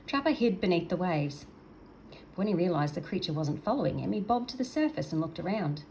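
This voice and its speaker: A woman's voice: lowish voice, sing-song, a little fast, a little mellow, low-quality recording. An older woman storyteller reading a children's story aloud expressively, in a grandmotherly manner. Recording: noticeable background noise.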